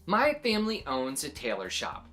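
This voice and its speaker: A woman reading a story aloud to young children in an animated, expressive way, adding little exclamations. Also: sits low, moderate pace, faint music behind. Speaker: children's story reader and host.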